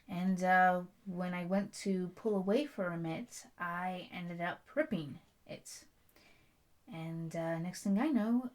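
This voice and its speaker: A woman's voice: mid-range voice, darkish tone, natural voice. Headstrong young bride-to-be, blunt and chatty woman acting. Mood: wry recollection. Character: headstrong, stubborn bride-to-be, werewolf prince's future wife. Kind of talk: intimate ASMR roleplay dialogue.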